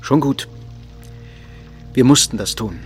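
An actor in a German radio drama playing an uneasy, conscience-stricken man, with a husky voice and a hesitant, questioning way of talking. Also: medium pitch, slow pace, soft background music, close to an everyday voice. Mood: troubled.

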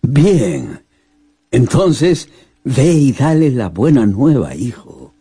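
An actor playing an elderly, solemn father in a radio drama, with a husky, raspy voice and a halting, deliberate delivery. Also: medium pitch, big pitch swings, mellow, exaggerated voice. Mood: pleased.

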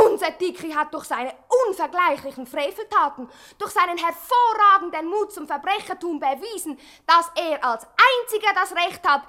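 A very high, bright girlish voice for a satirical radio play. She is a teenage fan club member, earnest and adoring, delivering her gushing statement like a little speech. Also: heavily acted.

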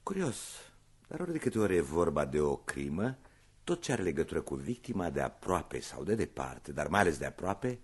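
An actor playing a shrewd police inspector in a radio play, husky, questioning with courteous irony and insistence. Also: male voice, sits low, sing-song, heavily acted. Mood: firm, insistent. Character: shrewd male police inspector, politely probing and wry.

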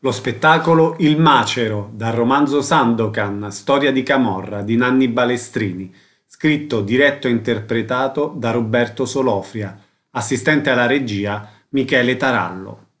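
A man's voice: mid-range voice, normal speed, fairly bright tone. A man reading scripted credits as an announcer introducing a play.

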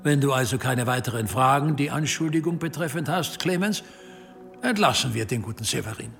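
An actor playing a measured, dignified medieval archbishop presiding as judge in a radio play, formal and judicious. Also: male voice, medium pitch, animated, gravelly, fairly bright tone, faint music behind.

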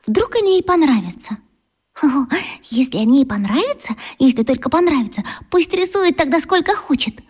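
Cast: a dreamy young schoolgirl in a radio play, very high, earnest and wistful. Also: lively intonation, moderate pace, darkish tone. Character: shy, dreamy little schoolgirl longing for a friend.

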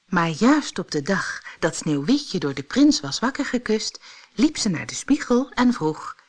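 A woman reading a fairy tale as a storyteller, in expressive, dramatic and measured scripted narration. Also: medium pitch.

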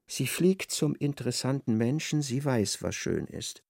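A man playing a wry literary narrator in a radio drama, expressive and ironic as he slips into a character's thoughts. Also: medium pitch, a little slow, creaky, no echo, studio quality. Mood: fervent.

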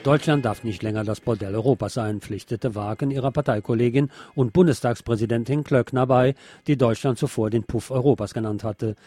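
A man's voice: mid-range voice, fairly quick, hardly any pauses, dark tone, soft background music. A man reading scripted news in as a narrator. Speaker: news reader.

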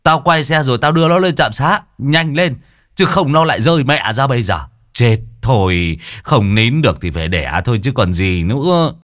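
A man voicing a gruff, comic older village neighbour who grumbles bluntly and crudely in an audio story. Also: mid-range voice, lively intonation, moderate pace, a bit bright, no room sound, clean studio sound, voice pushed up, close to an everyday voice. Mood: gruff urging.

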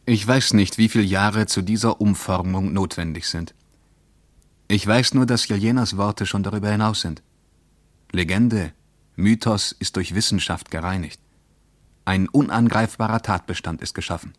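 A man's voice: fairly low pitch, normal speed, no echo, natural voice. An actor reading a diary as a pensive, sceptical male traveller in a radio play, in a solemn literary style. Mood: solemn, ironic.

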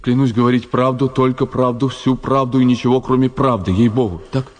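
A man's voice: medium pitch, fairly even intonation, a little fast, a little mellow, long reverb tail, lightly acted. An actor playing a frightened, naive convict in a radio drama, rambling and plaintive as he insists on his innocence. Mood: wistful, pleading. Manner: rambling, plaintive, jittery, colloquial. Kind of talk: Soviet radio drama acting.

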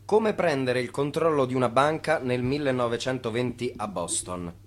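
An actor playing a wry, didactic narrator in an Italian radio play, addressing the listener directly like an instructor. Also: male voice, mid-range voice, normal speed, natural voice. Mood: wry, instructive. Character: wry, instructive male storyteller of a swindle.

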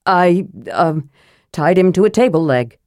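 A big, sheepish lunk of a man, voiced by a woman narrator, worried and slow-witted. He stammers apologies in a storybook style, in a dry, close recording. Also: on the low side, animated, darkish tone, no echo. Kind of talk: audiobook character voice.

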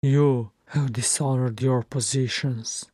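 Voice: mid-range voice, flat delivery, slow, mellow, no room sound, studio quality, close to an everyday voice. A narrator voicing a stern, weary old king in a fantasy story, grave, formal and commanding.

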